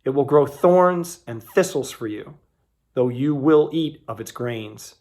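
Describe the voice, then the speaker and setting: Man voicing a stern, commanding God, animated pitch. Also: takes it slowly. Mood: solemn judgment. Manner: emphatic, accusing. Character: God, stern commanding male deity passing judgment.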